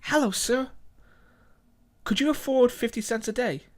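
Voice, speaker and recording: A man voicing a nice, patient male street charity canvasser making a sales pitch in a short story. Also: unhurried. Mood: polite, eager.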